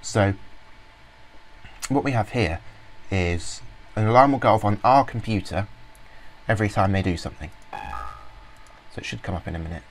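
Rambling low-voiced man demoing software. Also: big pitch swings, normal speed, gravelly, long pauses, soft background music. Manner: rambling, many fillers and restarts. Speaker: software reviewer. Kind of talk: informal screen-recorded walkthrough.

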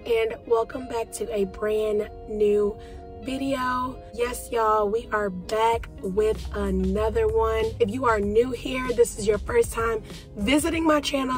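A young woman talks straight into her phone from a parked car, chatty and familiar, calling her viewers y'all. Her voice sits a little high but sounds stuffed up and half gone from a cold. Soft music runs faintly underneath. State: congested with a cold. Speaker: vlogger. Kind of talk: casual vlog chat.

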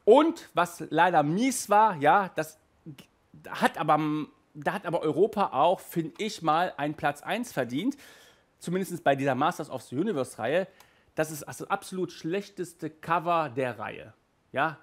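A man in his thirties giving a chatty, animated video review from a small room, speaking into a headset mic. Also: fairly high pitch, a little slow. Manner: chatty and animated, gestures with hands. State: hot and sweaty in a stuffy room. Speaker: audio drama reviewer. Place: small room with toy shelves, indoors.

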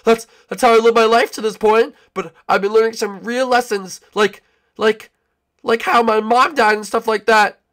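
A man's voice: high-pitched, steady tone, normal speed, a bit bright. A man playing an anxious, guilty young boy creature trying to be brave in a casual skit, stammering and repeating himself. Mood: shocked, defensive. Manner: stammering, repetitive, nervous. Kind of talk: casual hobby character skit acting.

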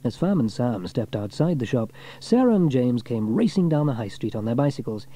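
A man narrating a scripted children's story as an audiobook reader, with expressive storytelling delivery. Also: mid-range voice, a little fast, a little hiss or hum.

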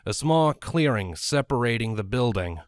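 A man's voice: medium pitch, lively intonation, moderate pace, dry recording, clean studio sound. A man reading scripted story narration as an audiobook narrator.

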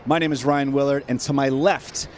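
A man's voice: mid-range voice, fairly even intonation, moderate pace. A young man hosts a live sports pregame show, speaking into a handheld mic. He is upbeat and talks up his guests. Faint noise sits in the background. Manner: upbeat, hyped introductions. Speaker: host. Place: studio set at a convention center.

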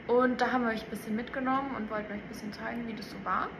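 A woman's voice: a little high, fairly even intonation, rapid-fire, noisy background. A woman in her twenties from southwestern Germany, chatting casually to camera in a large indoor hall, laughing easily. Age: 20s. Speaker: student ambassador vlogger.

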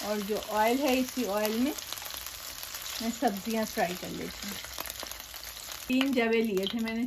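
Woman explaining a recipe over sizzling pans. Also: fairly high pitch. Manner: patient, repeats steps, addresses viewers directly. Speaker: cooking show host. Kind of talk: step-by-step cooking demonstration. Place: home kitchen, voice over stovetop sizzling. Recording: audible frying and stirring noise.

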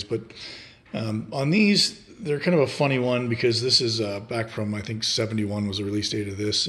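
A man's voice: medium pitch, fairly bright tone. A man with a mid-range voice hosts a casual DIY repair video. He speaks informally, in laid-back everyday wording, explaining things as he goes. The sound is that of a handheld camera in a room at home. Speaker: host of a DIY repair channel.

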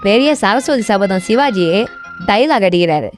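A woman's voice: medium pitch, animated, a little slow, lightly acted. A woman voicing a stern, heckling talking animal in a comic dub. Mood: mocking. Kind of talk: comic dubbing of funny animal clips. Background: loud music.

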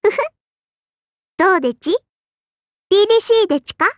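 A woman's voice: very high voice, expressive, measured pace, no echo, clean studio sound. A woman voicing a small, strict but kindly and dutiful sparrow girl for an anime game, in a childlike lisping voice with formal, cutesy phrasing. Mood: composed. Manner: formal yet cutesy, chirping verbal tics. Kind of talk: Japanese anime game character lines.

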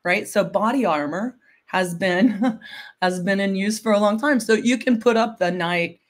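Slightly hoarse woman explaining fabrics. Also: medium pitch, unhurried. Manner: explanatory, often adds "right?" and "um". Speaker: guest expert on textiles. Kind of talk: casual livestream chat. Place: home room with window blinds. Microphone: video call.

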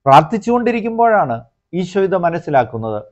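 A middle-aged priest, giving a measured homily that explains scripture in short, paused phrases. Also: male voice, sits high, sing-song, a little mellow. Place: small indoor room, plain backdrop. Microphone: clip-on lapel mic. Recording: slightly dull, lo-fi lapel recording.

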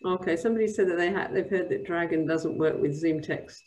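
A woman's voice: on the low side, fairly quick, a little mellow. A woman hosting a webinar over a video call, casually relaying questions. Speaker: webinar host.

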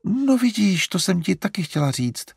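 Man doing a high, fretful fussing mother. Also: very animated, gravelly, slightly rough, no echo, clean studio sound, exaggerated voice. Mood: flustered coaxing. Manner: flustered, coaxing, hesitant. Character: fussy, fretful middle-aged mother.

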